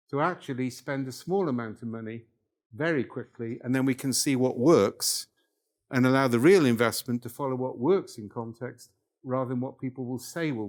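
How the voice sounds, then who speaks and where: An older man from Wales giving a live workshop lecture, talking it through conversationally and checking in with the room. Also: mid-range voice. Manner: conversational, checks in with "yeah?" and "right?".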